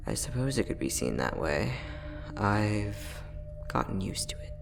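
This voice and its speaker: A man playing a friendly, teasing time-traveling inventor, soft-spoken and chatty in an ASMR roleplay audio drama. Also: fairly low pitch, vocal fry, heavily acted. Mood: wry, thoughtful. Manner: soft-spoken, chatty, playful, with frequent chuckles.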